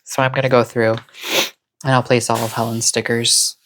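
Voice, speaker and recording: Soft-spoken, even-toned vlogger with a soothing delivery. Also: medium pitch, normal speed, slight background noise. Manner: chill, rambling, encouraging. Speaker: host of a planner and stationery channel. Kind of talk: casual cozy chat to camera.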